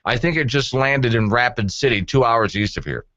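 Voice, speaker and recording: A man's voice: mid-range voice, moderate pace, a bit bright, dry recording, dull audio. A man hosting a call-in radio talk show, chatting conversationally with wry, joking asides. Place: radio studio.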